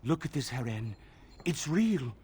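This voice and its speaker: An actor playing an excitable, temperamental perfectionist armorsmith in a fantasy video game, theatrical and fussy. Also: male voice, medium pitch, measured pace, husky, full character voice. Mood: awed, eager. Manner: theatrical, fussy, gushing and petulant.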